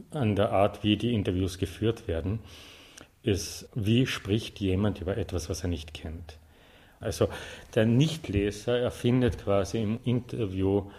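A man with an Austrian accent answering interview questions conversationally, in a wry, playfully deadpan manner. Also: sits low. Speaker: interview guest, artist and self-appointed librarian.

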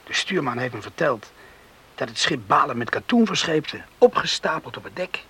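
An actor playing an eager, earnest young farmer in a radio drama. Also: male voice, fairly high pitch, sing-song, normal speed, pushed and bright, husky, heavily acted. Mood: eager, coaxing. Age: young adult. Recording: hissy old radio recording.

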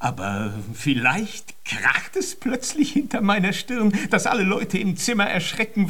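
An actor in a German radio drama playing an earnest, deferential young musician who speaks formally and courteously. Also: male voice, sits high, vocal fry, fairly bright tone, exaggerated voice. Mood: wistful.

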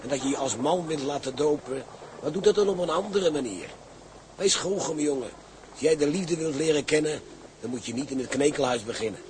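An actor playing a gruff, fatherly ship's engineer with salty sailor talk in a radio drama. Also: fairly high pitch, brisk, close to an everyday voice. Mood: fatherly, firm. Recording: noisy outdoor drama scene.

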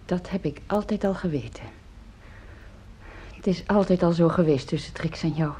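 An actress in a Dutch radio play playing a composed, gently sceptical middle-aged mother, speaking softly and knowingly. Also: fairly low pitch, normal speed, slight background noise, close to an everyday voice. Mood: quietly dismissive.

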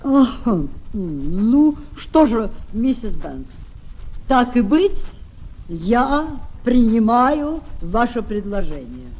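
Stern, superior nanny for a children's radio drama; unhurried, expressive, clipped orders. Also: female voice, fairly high pitch, heavily acted, poor audio. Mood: haughty, condescending. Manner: curt, haughty, commanding. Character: prim, brisk, stern magical English nanny. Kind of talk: children's radio play acting.

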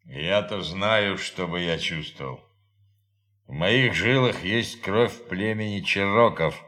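An intense, forceful astronaut crewmate. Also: male voice, low-pitched, slow pace, exaggerated voice. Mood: grave, subdued. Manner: intense, swinging from hushed to explosive. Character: proud Cherokee astronaut, loyal crewmate, horrified and defiant. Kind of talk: Russian audio drama acting.

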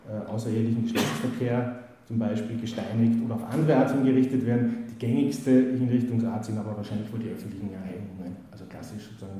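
Hesitant lecturer in echoey hall. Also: male voice, medium pitch, mellow. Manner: hesitant, full of "äh" and restarts, reads quotes aloud. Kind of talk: spoken lecture with many fillers.

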